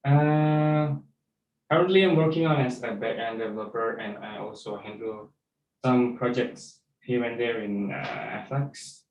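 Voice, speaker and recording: A man's voice: unhurried, muffled recording. A young man from Indonesia, a backend developer answering interview questions with many hums and fillers. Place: office room. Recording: echoey room, distant mic, faint rumble.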